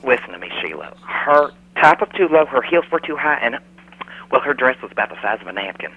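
A man's voice: medium pitch, sing-song, fairly quick, breathy edge, phone-quality sound. A man voicing a chatty, folksy middle-aged Southern mother with a Southern US accent who gushes and rambles on a radio call. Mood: gushing. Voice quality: gushing, folksy, rambling, says "y'all". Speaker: radio caller. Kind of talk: phone-in radio chat.

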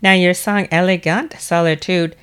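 A woman's voice: fairly low pitch, fairly even intonation, moderate pace, fairly bright tone, clear, resonant tone. A woman radio host who introduces her guest from a script and then asks him questions. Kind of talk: interview hosting.

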